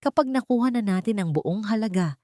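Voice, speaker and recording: A woman's voice: medium pitch, animated, a little fast, warm tone, no room sound, studio quality, pitched above the performer's own voice, lightly acted. A woman voicing a manipulative, impatient grown son who pleads and guilt-trips in an audio drama. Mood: smug scheming.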